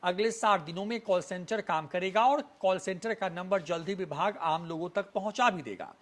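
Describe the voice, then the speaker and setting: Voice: medium pitch, moderate pace. A news presenter delivering scripted news narration in a formal report style.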